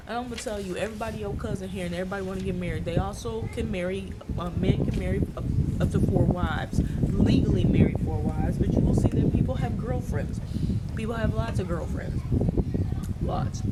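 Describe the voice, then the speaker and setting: An American woman giving casual travel advice to the camera in an informal, emphatic, advisory way. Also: mid-range voice. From United States. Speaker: travel vlogger. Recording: clear background ambient noise.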